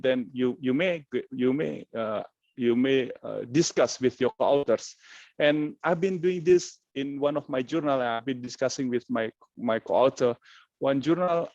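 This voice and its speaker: A man's voice: mid-range voice, a little mellow, dry recording, muffled recording. A man from Indonesia with an Indonesian accent, giving an informal webinar lecture in plain, conversational English, which is not his first language. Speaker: webinar speaker, researcher.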